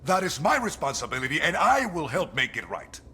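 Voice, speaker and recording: A regretful but principled general in a video game. His speech is formal and grave, with an expressive pitch range and a hard, bright edge over faint ambience. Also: fairly quick, a little hiss or hum, exaggerated voice. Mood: regretful, resolute. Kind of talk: video game cutscene acting.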